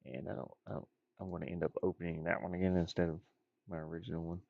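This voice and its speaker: Low gravelly guy rambling while he draws game stuff, mumbly n goofy, muffled dry mic. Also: level tone, quick pace, dry recording. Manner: muttering, rambling, playful asides. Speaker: indie game designer streaming. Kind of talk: casual chat while drawing game art, thinking aloud.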